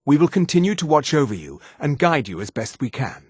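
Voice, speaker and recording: A haughty male high elf mage for a fantasy video game. His mid-pitched voice moves expressively up and down, with a husky edge and formal, precise diction. The recording is close and dry. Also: sing-song, a little fast, dry recording. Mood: earnest, measured. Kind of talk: scripted video game character lines.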